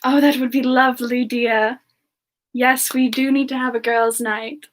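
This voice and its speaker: A woman playing a sweet, affectionate young female character in soft semi-ASMR chat, gently answering listeners with endearments. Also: on the high side, flat delivery, measured pace, lightly acted. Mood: sweet, shy. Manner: gentle, affectionate, addresses listeners as dear and love. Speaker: streamer answering chat and singing requests.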